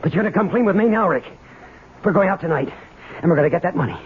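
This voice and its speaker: A man's voice: high voice, lively intonation, very fast. An actor playing a desperate, obsessive man who demands stolen money, agitated and insistent, in 1970s radio drama style. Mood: angry, insistent. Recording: tinny, noisy old radio broadcast.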